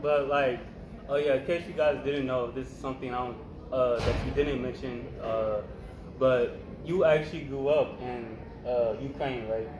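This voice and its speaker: A man's voice: medium pitch, fairly even intonation, normal speed. A young man hosting a casual conversation, laid-back and agreeable, asking questions. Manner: laid-back, agreeable, short backchannel responses. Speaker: host. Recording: echoey room with background noise.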